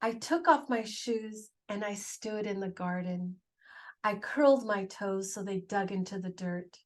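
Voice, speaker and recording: A woman who hosts a children's storytime, reading a picture book aloud in an expressive, animated way. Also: medium pitch. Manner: animated, unhurried delivery. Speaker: children's storytime host, reader.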